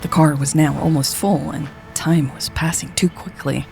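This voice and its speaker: A woman's voice: deep voice, fairly even intonation, normal speed, creaky, a little mellow, faint music behind. A woman reading a scripted story as a narrator, voicing the dialogue as she goes. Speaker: audio fiction narrator.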